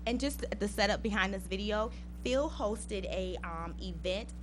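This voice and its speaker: Woman, school program presenter, mid-pitch, plain board-meeting delivery, a faint hum behind. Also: unhurried. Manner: conversational, earnest, with frequent fillers. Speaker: school district English learner program presenter.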